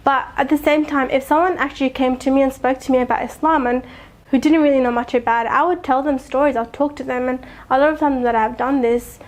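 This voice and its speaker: High-voiced young woman, earnest and reflective. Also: fairly even intonation, fast talker. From Afghanistan. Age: young adult. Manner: thoughtful, earnest, with soft laughs and frequent ums. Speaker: interviewee. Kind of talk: reflective personal testimony.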